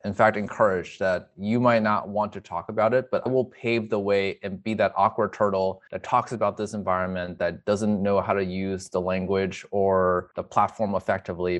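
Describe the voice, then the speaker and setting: A man speaking reflectively in an interview as a physician, earnest and self-effacing, with measured, formal wording. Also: lowish voice. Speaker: emergency medicine physician, interviewee. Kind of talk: reflective personal interview talk.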